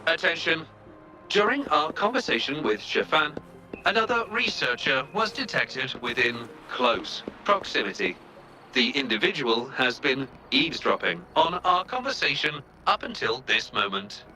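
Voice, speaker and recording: A man's voice: fairly high pitch, very animated, measured pace, bright, forceful tone, soft background music, exaggerated voice, poor audio. A man voicing a dutiful, proud robot assistant in a video game, speaking in stiff, formal announcements. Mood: formal, matter-of-fact.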